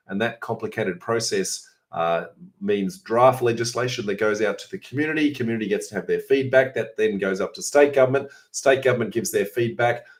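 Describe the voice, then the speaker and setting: A middle-aged man with an Australian accent, hoarse and raspy today, hosting a casual question-and-answer session and chatting through answers with many filler sounds. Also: medium pitch. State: hoarse, coughing. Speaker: host answering viewer questions. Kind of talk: informal Q&A session.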